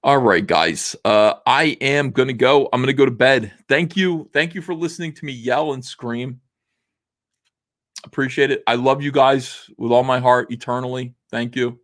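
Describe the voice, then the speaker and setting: A man in his forties hosting a casual livestream, reading viewer messages aloud, rambling with lots of fillers while his voice is going rough. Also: mid-range voice, moderate pace, fairly bright tone. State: losing his voice. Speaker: livestream host. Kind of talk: casual livestream chat reading super chats.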